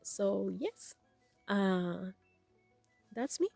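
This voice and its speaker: Soft-spoken woman with a Spanish accent. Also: mid-range voice, lively intonation, vocal fry, no echo, soft background music. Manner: gentle, halting, with many fillers. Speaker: weaving tutorial host. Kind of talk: casual personal talk and craft tutorial.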